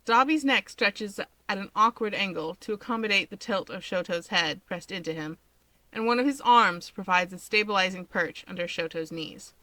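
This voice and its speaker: A woman's voice: mid-range voice, normal speed, bright, forceful tone, dry recording, poor audio. A woman narrating a piece of fiction in a scripted storytelling style.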